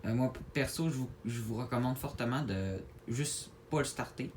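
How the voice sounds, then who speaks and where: A man with a Québécois French accent giving a casual tutorial to viewers, halting and repeating his words as he goes. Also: mid-range voice, a little mellow. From Quebec, Canada. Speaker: gaming video creator. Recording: clear background noise, home-made recording.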